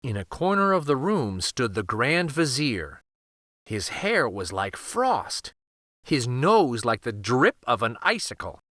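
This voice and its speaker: Male children's story reader: unhurried, very expressive, bright tone, dry close recording, dramatic picture-book delivery. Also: mid-range voice, dry recording. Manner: expressive, deliberate, theatrical.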